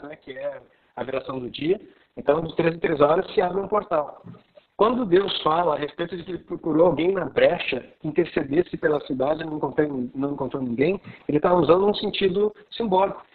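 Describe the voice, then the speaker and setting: High-voiced Gaúcho man on a tinny call. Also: slightly rough. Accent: Gaúcho Brazilian Portuguese. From Rio Grande do Sul, Brazil. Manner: excited, animated teaching. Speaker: pastor. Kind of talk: live video call conversation. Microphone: video call. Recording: tinny phone-like line.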